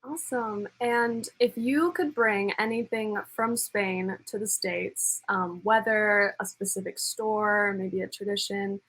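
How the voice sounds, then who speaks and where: A woman's voice: medium pitch, takes it slowly, few pauses, fairly bright tone, low-quality recording. A young woman hosting a student show, asking casual, rambling interview questions.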